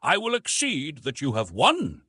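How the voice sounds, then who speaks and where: Man voicing a bantering gentleman hunter, lively pitch. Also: measured pace, breathy edge, no room sound, full character voice. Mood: concerned, insistent. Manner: teasing, formal phrasing. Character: bantering, good-natured French hunter, slightly sarcastic wagerer. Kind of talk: audiobook character dialogue.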